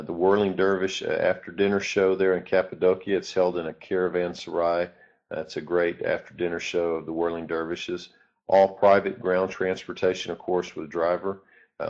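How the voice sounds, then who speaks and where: Low-voiced man pausing on "uh" fillers. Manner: steady, frequent "uh" fillers. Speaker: tour presenter. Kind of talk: narrated tour itinerary presentation. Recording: dull lo-fi recording.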